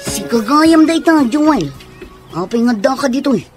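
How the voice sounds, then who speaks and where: A woman's voice: sits high, animated, moderate pace, over loud music. A woman playing a friendly, cheerful tomboy in an Ilocano radio drama.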